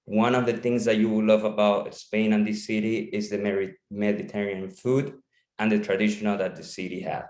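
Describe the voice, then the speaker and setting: Flat-voiced man from Panama, echoey room. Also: lowish voice, fairly quick. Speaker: campus representative. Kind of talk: casual presentation on a video call.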